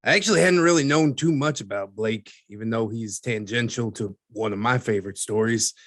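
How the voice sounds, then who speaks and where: A man playing a dry, skeptical newspaperman who explains things in a measured, wry interview style for an audio drama. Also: on the low side, a bit bright, dry recording. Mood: matter-of-fact. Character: dry, skeptical newspaperman recounting archived cases.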